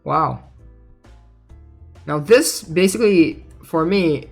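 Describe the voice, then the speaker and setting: A gaming content creator talks in a casual, mid-pitched voice with music behind. The delivery is conversational commentary on game news, switching now and then into reading aloud. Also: expressive, slow pace, vocal fry.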